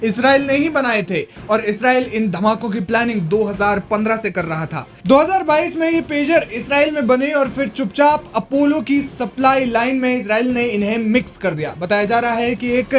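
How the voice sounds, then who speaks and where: A man's voice: very high voice, moderate pace, few pauses. A man presenting scripted news narration in an emphatic, explanatory way. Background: background music.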